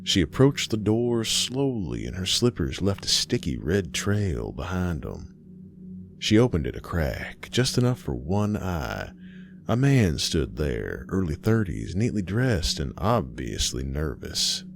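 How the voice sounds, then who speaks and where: A low-voiced man narrates horror fiction like a folksy campfire storyteller. He takes his time with dramatic pauses and an expressive, varied delivery. Faint ambience sits behind him. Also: gravelly, a little hiss or hum. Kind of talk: scripted horror narration, fireside campfire tale.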